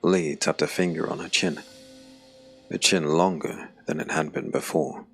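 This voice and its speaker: A man narrating a dark fantasy audiobook drama in measured, weighted phrases. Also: extremely low pitch, fairly even intonation. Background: background music.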